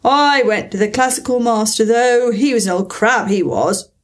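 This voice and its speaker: A woman reading aloud and voicing a gruff, impatient male Gryphon who scolds and hurries the others. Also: fairly high pitch, moderate pace, lightly acted. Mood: brusque. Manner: brusque, scolding.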